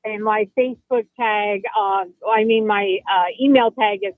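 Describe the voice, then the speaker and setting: A woman calling in to a radio show, speaking haltingly with many fillers. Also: mid-range voice, fairly even intonation, no room sound. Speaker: caller. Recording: phone line.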